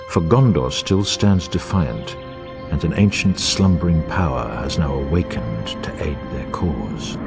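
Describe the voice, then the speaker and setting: An actor playing a grave, wise war narrator in a fantasy video game, with dramatic, archaic and formal delivery over music. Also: male voice, low voice. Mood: solemn, foreboding.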